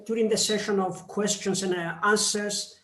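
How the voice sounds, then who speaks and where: A man with a Greek accent, a member of parliament, giving a formal seminar speech in a slow, measured way with pauses. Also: high-pitched, fairly even intonation, fairly bright tone, roomy sound.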